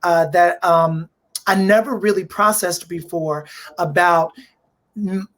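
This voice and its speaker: A man moderating a conversation, speaking in a halting way and repeating words as he forms his thoughts. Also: sits high, a bit bright. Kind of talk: conversational interviewing.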